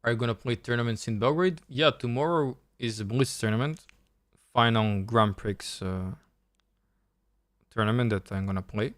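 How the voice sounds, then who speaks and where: A man's voice: medium pitch, moderate pace, fairly bright tone, dry recording, clean studio sound. A man from Serbia with a Serbian accent, thinking aloud and explaining step by step as he coaches on a live chess stream.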